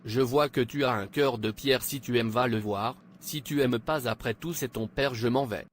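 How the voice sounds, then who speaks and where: Man as exasperated relative, reproachful, cartoon dub, mid pitch, noisy ambience. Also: moderate pace, close to an everyday voice. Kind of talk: dubbed cartoon dialogue.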